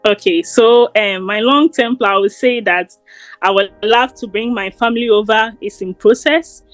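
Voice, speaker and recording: A woman's voice: a little high, moderate pace, dry recording, faint music behind. A young Ghanaian woman with a Ghanaian accent, talking earnestly as an interview guest, often repeating words. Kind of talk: casual interview.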